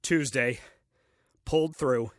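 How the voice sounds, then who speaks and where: An actor playing a grumbling, solitary first man reading out his diary in a comic audio play, with a dry, deliberate manner. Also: mid-range voice, no room sound, lightly acted. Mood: grumbling, skeptical.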